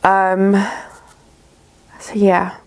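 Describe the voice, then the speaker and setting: A chatty woman talking to camera from her home studio. Her voice has a slightly gravelly, creaky texture and sits at an ordinary middle pitch. She sounds a little bunged up and drifts along with easy ums and restarts. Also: completely flat delivery, drawn-out words, darkish tone, rough recording. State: slightly bunged up from a runny nose. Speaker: small business vlogger. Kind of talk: casual vlog chat to camera.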